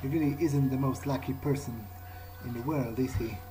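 A man's voice: medium pitch, steady tone, normal speed, over music. A man doing in-character game commentary, wryly thinking aloud as he plays. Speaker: gamer playing in character. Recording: clearly noisy, game ambience behind.

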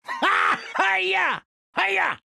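A man with a Southern US accent voicing a folksy, excitable, good-natured rusty tow truck in a cartoon racing game. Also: very high-pitched, extremely slow, gravelly, no room sound, clean studio sound, full character voice. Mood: excited. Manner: folksy, whooping, bad grammar.

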